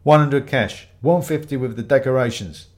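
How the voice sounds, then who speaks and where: A man voicing a loud, blustering builder in a story reading, brash, demanding and menacing. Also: mid-range voice, moderate pace, close to an everyday voice. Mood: boastful. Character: loud, bullying male builder, boastful and menacing.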